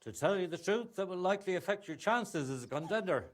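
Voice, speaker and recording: Candid tradesman, middle-aged, for a game: chatty, highish voice, strongly varied intonation. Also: lively intonation, quick pace. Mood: sympathetic, advising. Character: chatty, candid guild craftsman, old acquaintance of hero's father. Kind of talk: video game dialogue acting.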